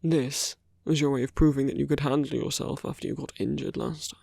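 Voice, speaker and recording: A suave male villain, played by a voice performer in a romantic ASMR audio drama. The voice has a gravelly fry and sits very dry and close. Phrases come deliberately, hushed and broken by pauses, in a protective and persuasive manner. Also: mid-range voice, steady tone, no echo. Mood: persuasive, concerned. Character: suave male villain urging a captured hero to flee.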